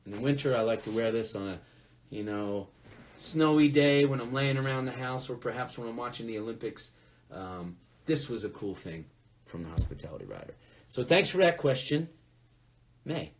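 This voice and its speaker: A man's voice: medium pitch, animated, normal speed, long pauses. A man chatting casually from home during a live fan Q&A, telling rambling anecdotes. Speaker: musician hosting a fan Q&A. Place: at home, next to a closet.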